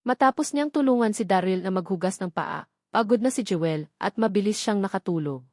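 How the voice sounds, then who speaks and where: A woman's voice: mid-range voice, animated, brisk, a little mellow, dry recording, studio quality. A woman reading a novel aloud as a scripted audiobook narration.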